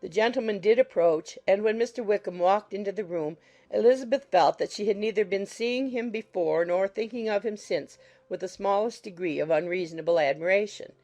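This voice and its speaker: A woman narrating a classic novel as a scripted audiobook, reading in a measured, literary manner. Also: mid-range voice, dark tone.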